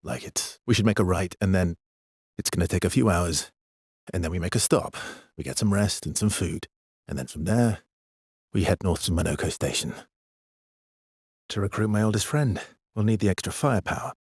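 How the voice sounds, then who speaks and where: An actor playing a weary, gentle, guilt-ridden expedition guide in a dramatic video game, with a husky, breathy, hushed and halting delivery. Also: male voice, low-pitched, no room sound, clean studio sound. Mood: calm, explaining. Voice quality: husky, breathy, often near a whisper.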